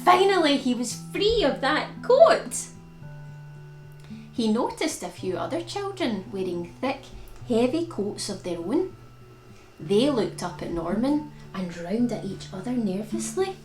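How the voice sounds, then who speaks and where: A woman reads a picture book to young children at an unhurried pace, her pitch swooping expressively as she leans on key words. The room adds some echo, and guitar music plays along with her storytelling. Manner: animated, dramatic emphasis on key words. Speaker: children's storytime reader and host.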